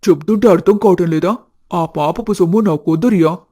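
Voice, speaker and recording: A male actor plays an earnest young man in love in a cartoon story. His voice sits a little high for a man, yet it is soft and mellow. The recording is dry and close. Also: fairly quick, no echo. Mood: hurt, pleading. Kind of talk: scripted cartoon story dialogue.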